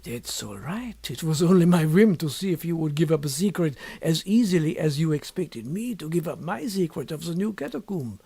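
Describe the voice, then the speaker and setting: Man voicing a secretive scholar, sly, impassive, measured formal phrasing, audiobook dialogue, high swooping pitch, slightly husky. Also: expressive, warm tone, no room sound, exaggerated voice. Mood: teasing, impassive. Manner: measured, deliberate, formal, lingering on words.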